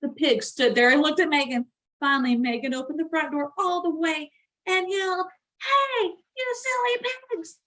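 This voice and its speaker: High-voiced woman reading aloud to children. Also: a little fast. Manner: animated, addresses young listeners directly. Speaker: read-aloud channel host. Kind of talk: expressive picture-book read-aloud for children.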